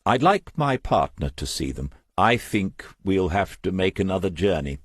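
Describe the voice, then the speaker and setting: Narrator as a canny, polite retired detective, husky. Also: male voice, sits low, big pitch swings, moderate pace, no echo, studio quality, voice pushed up. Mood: casual, probing. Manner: polite, casually inquisitive. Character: older retired male detective, politely probing, mild and canny. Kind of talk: audiobook character dialogue.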